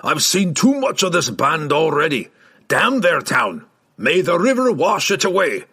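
A man voicing a gruff, defiant, quick-tempered dwarf warrior who growls and barks in rustic speech for a fantasy audiobook. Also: on the high side, big pitch swings, fairly bright tone, pitched above the performer's own voice, full character voice. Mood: furious.

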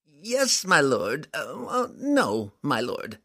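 A man's voice: on the high side, huge pitch swings, takes it slowly, pushed and bright, pitched above the performer's own voice, heavily acted. A man voicing a small, cowering male demon servant in an audiobook, whimpering and pleading. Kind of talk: audiobook character voice.

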